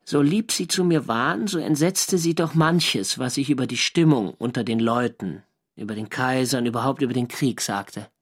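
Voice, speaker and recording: A man playing an earnest sailor recounting his war diary in a radio play. His voice sits at a middle pitch, and he tells things in a measured, literary way with a wry undertone. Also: close to an everyday voice. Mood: wry, reflective. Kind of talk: scripted first-person radio drama narration.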